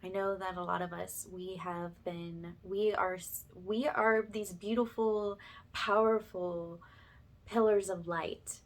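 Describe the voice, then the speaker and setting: A woman's voice: medium pitch, steady tone, takes it slowly. A woman hosting a spiritual ASMR channel, speaking to her viewers in an earnest, affectionate and reassuring way.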